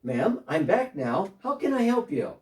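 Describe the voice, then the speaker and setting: Defensive chatty tax guy on the phone, a man doing the part, lively up-and-down voice, a bit far from the mic. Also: high-pitched, a little slow. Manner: one side of a phone call, defensive and talkative. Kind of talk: church dramatic reading.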